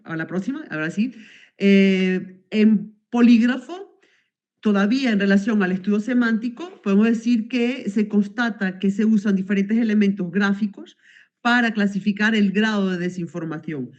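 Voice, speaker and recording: A woman's voice: mid-range voice, normal speed, a little mellow, highs cut off. A woman from Venezuela, presenting research results at an academic conference over a video call and hesitating with many fillers and self-corrections.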